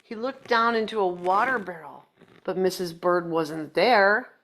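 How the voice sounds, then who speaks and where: A woman's voice: on the low side, unhurried, fairly bright tone, slight background noise. A woman reading a children's picture book aloud, playfully chatting to young listeners in little asides. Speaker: storybook reader.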